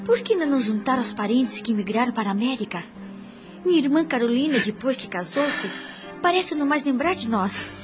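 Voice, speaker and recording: Serious young daughter in radio drama, highish voice, formal and careful, soft music under. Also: female voice, expressive, brisk. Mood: melancholy. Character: earnest, composed young woman, a dutiful daughter. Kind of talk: radio soap opera acting.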